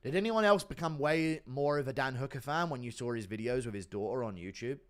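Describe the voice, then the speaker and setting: A man's voice: medium pitch, fairly quick, clear, resonant tone. A man hosting a casual livestream, reading donations and answering chat in a blunt, profane, offhand way. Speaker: livestream host.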